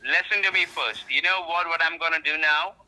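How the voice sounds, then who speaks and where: Sharp-voiced insistent man on a phone. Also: a little high, fairly quick. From Asia. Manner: insistent, threatening, repetitive. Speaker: phone scammer posing as tax agent. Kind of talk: scripted pressure phone call. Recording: tinny, sharp telephone line.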